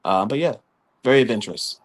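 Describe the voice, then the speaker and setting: A man from Los Angeles answering dating questions on a live show, earnest and full of little pauses. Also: mid-range voice, animated. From Los Angeles, California. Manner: earnest, many um fillers. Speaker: contestant. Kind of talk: casual live chat.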